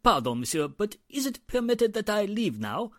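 A high-voiced, anxious Frenchman. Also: sing-song, normal speed, no echo, studio quality. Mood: deferential. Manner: formal, deferential, peppering speech with French. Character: anxious, apologetic French café manager. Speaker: restaurant manager character. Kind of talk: radio drama acting.

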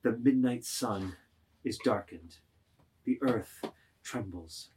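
A man's voice: on the low side, slow, a little mellow, slight background noise. A man narrating a literary script, reading stage directions in a declamatory way.

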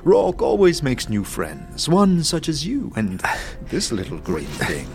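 A man's voice: medium pitch, wildly swooping pitch, slow, faint music behind, pitched above the performer's own voice, heavily acted. A man voicing a gleeful, mad trickster fool who speaks in theatrical sing-song rhyme for a fantasy audio drama. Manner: sing-song rhyming, theatrical, swooping between hush and glee.

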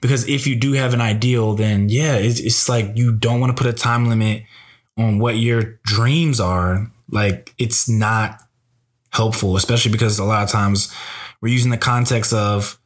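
A young man co-hosting a podcast, speaking in a gentle, musing, encouraging way about astrology and dreams. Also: fairly low pitch, fairly even intonation, normal speed. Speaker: podcast co-host. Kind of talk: casual conversation about astrology.

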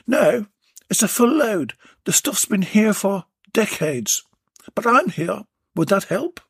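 A storyteller voicing a bold, flirtatious woman who talks briskly and eagerly. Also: medium pitch, sing-song, husky, no room sound, clean studio sound, pitched above the performer's own voice, full character voice.

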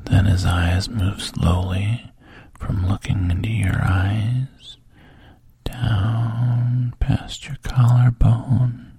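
A man narrating a second-person story in a slow, breathy, intimate whisper. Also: on the low side, flat delivery, dark tone.

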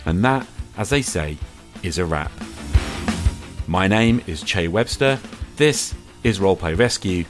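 A man's voice: low voice, animated, measured pace, long pauses, over music. A man hosting a podcast, talking in a conversational way to his listeners. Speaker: podcast host.

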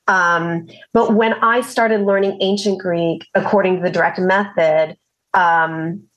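A woman as guest in a casual conversation, explaining her views at a steady pace with many pauses. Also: medium pitch, poor audio. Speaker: guest educator.

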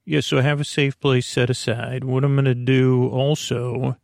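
A man hosting a bedtime podcast in a creaky voice, meandering and halting as he repeats himself and drifts off on tangents. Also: medium pitch, little pitch movement, no echo, clean studio sound. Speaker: podcast host. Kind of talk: rambling bedtime sleep-podcast monologue.

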